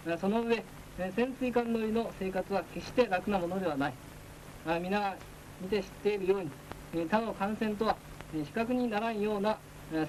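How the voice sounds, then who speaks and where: A man's voice: high voice, rough recording. A man delivers a formal military lecture in a stern, declamatory manner. He often drops in hesitation sounds as he instructs. The sound is that of a 1940s film soundtrack with some noise. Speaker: naval instructor.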